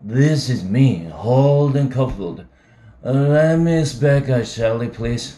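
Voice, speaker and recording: A man voicing a drunk teenage boy in a story, yelling, repeating himself and pleading. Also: mid-range voice, expressive, slow pace. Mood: insistent, drunk.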